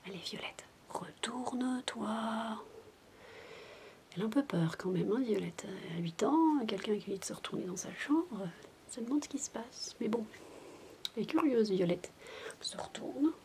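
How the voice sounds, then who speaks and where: A woman doing soft-spoken ASMR storytelling, playful and whispery, wandering into chatty asides as if to a child. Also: a little high, lively intonation, normal speed, a little hiss or hum. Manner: playful babysitter addressing a child listener. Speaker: ASMR roleplay performer.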